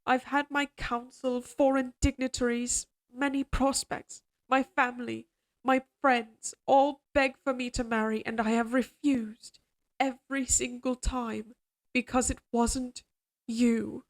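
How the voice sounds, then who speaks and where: Woman playing an evil queen, fairly high voice, formal, measured, imperious, possessive, obsessively devoted, audio drama roleplay. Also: little pitch movement. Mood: pleading devotion.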